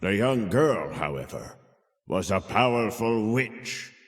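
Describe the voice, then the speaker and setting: A kindly older male storyteller, spins a fairy tale for a game. His delivery is unhurried and theatrical, with lively swings of pitch. Long reverb hangs around the words. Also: heavily acted. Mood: measured storytelling. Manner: theatrical, measured, animated. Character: kindly old storyteller telling a child a tale. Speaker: storyteller narrator. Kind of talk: dramatic fairy-tale narration.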